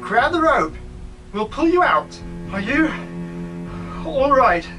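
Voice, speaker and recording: A man playing a brisk, bossy doctor in an audio drama, theatrical and commanding. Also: very high voice, big pitch swings, recorded from a distance, music in the background, heavily acted, poor audio. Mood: urgent, calling.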